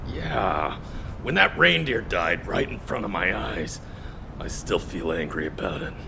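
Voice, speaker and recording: Gravelly, expressive young soldier sidekick. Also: male voice, sits low, a little slow, noisy background, exaggerated voice. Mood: serious. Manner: expressive, sincere. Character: eager, loyal young male soldier, hot-hearted sidekick. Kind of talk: video game cutscene dialogue. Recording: game music behind the voice. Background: background music.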